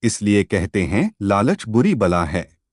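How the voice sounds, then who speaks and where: A man reads a moral story as a narrator, with animated rises and falls in his voice. He takes his time over each phrase in an expressive, measured way. The sound is close and dry. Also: lowish voice, no room sound.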